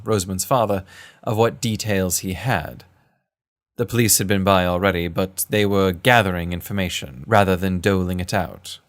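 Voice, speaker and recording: Low-voiced man as a formal storytelling gentleman adventurer. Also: close to an everyday voice. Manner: formal, literary, measured phrasing. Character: male first-person narrator, genteel adventurer recounting a kidnapping case. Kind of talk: scripted period-story narration.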